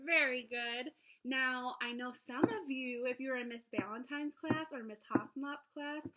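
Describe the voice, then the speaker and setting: A woman teacher reading aloud to young children in an encouraging, expressive storybook manner. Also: sits high, a little hiss or hum. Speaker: elementary school teacher. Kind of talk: children's read-aloud lesson.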